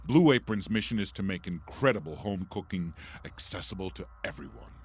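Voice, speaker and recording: A man's voice: low-pitched, lively intonation, brisk, breathy edge. A man hosting a podcast, reading a sponsor ad in a chatty, scripted way. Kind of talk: chatty host-read ad. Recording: music behind the voice.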